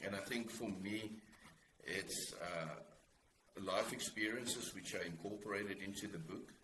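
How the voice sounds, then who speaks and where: Low-pitched man, forties, South African accent, halting reflective interview talk, roomy sound with faint noise. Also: bright, forceful tone. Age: 40s. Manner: hesitant, halting delivery. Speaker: guest author.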